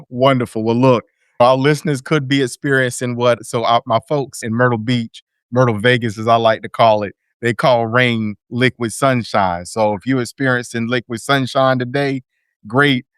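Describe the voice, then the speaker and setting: A man hosting a radio talk show, energetic and folksy, full of shout-outs and hype. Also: mid-range voice, normal speed, smooth, dry recording, studio quality.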